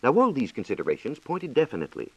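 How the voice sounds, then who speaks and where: A man's voice: medium pitch, huge pitch swings, fairly bright tone, slightly rough, dry recording. An American man explaining science formally as a reporter on a scripted 1940s radio program, in a dated lo-fi recording. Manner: formal, explanatory, measured diction.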